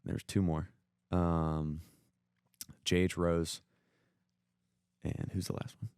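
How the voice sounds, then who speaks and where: Deep-voiced young American guy telling sports stories. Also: flat delivery, slow pace, darkish tone, clear, resonant tone, no echo, clean studio sound. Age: young adult. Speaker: podcast co-host and former high school baseball player. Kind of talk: casual sports storytelling.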